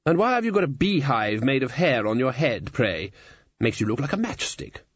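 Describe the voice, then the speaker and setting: A man doing a bluff, animated old male wizard. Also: medium pitch, moderate pace, husky, no room sound, highs cut off. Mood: blunt, conversational. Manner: bluff, conversational, courteous with hesitations. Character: jovial older male university wizard leader, bluff and charming.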